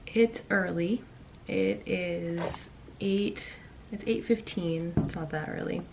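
Chatty young woman, slightly low voice. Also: slow pace, a little mellow, slight background noise. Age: young adult. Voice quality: raspy from just waking up. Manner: chatty, rambling, frequent ums and likes. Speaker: vlogger. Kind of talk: casual vlog chat. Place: bedroom at home. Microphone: camera in front at desk.

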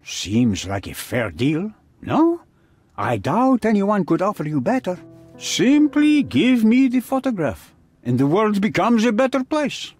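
Husky, swooping scheming businessman. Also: high-pitched, big pitch swings, unhurried, darkish tone, faint music behind, exaggerated voice. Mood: smug bargaining. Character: smug, scheming middle-aged male businessman with shady connections. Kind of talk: video game cutscene acting.

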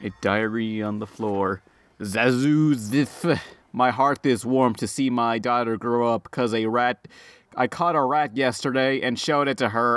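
A man's voice: mid-range voice, a bit bright, no echo. A man giving casual, sarcastic, sweary let's play commentary, joking and reading game text aloud. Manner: sarcastic, profane. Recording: clear background noise.

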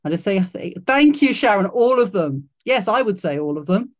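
A woman's voice: mid-range voice, expressive, normal speed. Woman, workshop host, encouraging, restarts often, video call mic. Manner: encouraging, many fillers and restarts. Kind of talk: online workshop presentation.

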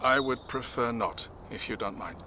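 A man's voice: medium pitch, moderate pace, sharp tone, a little hiss or hum, close to an everyday voice. An actor playing a secretive middle-aged man in an audio drama, polite, formal and hesitant. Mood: apologetic.

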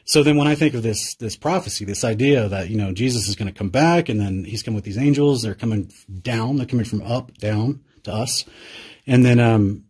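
A man's voice: medium pitch, fairly quick, a little mellow, husky. A man interviewing a guest in casual conversation, thinking aloud and searching for words with many fillers.

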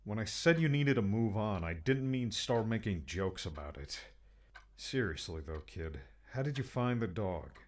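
Man acting a blunt, exasperated friend with lively pitch. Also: measured pace. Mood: disbelieving. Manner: blunt, scolding, insistent. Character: stern, exasperated older friend of a grieving young man. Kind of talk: audiobook character acting.

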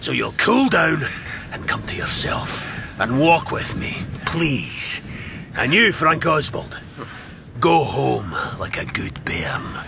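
An actor playing a stern, scolding Scottish man in a radio drama, with a gravelly voice. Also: medium pitch, big pitch swings, slow, music in the background, heavily acted. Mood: firm. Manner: stern, admonishing. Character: commanding, scolding Scottish man breaking up a brawl.

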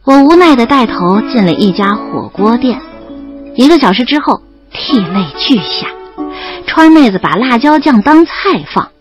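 A young woman acting as a lively student in love in an audio drama, telling her story and playing the dialogue. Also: a little high, moderate pace. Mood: wry, fond narration. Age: young adult. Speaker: narrator and lead actress. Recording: slightly dull audio over background music. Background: music.

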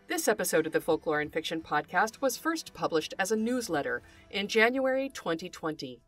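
A woman hosting an educational podcast, reading scripted narration in a measured, articulate and formal way. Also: mid-range voice. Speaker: podcast host, folklore scholar.

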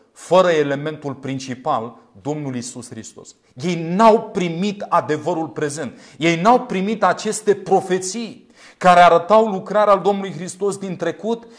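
A man in his thirties from Romania preaching a sermon in an earnest, emphatic way, using deliberate repetition. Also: a little high. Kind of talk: sermon with scripture commentary. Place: small indoor room, standing at a glass pulpit. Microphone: lapel mic.

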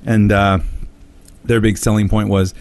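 A man's voice: fairly low pitch, little pitch movement, unhurried, darkish tone. A man hosting a casual comedy podcast, telling a story with jokes and silly put-on voices for quotes. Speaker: podcast host.

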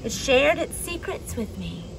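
A young adult woman reading a children's picture book aloud expressively, with dramatic pauses and emphasis. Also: sits high, sing-song, music in the background, rough recording. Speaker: storybook reader for a children's church message. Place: outdoors on a wooden deck among trees.

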